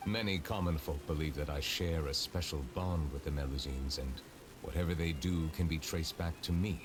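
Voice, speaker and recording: An actor playing a solemn, reserved ancient chief justice in a game, speaking formally and gravely. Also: male voice, extremely low pitch, moderate pace, background noise. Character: ancient dragon judge in human form, solemn and reserved.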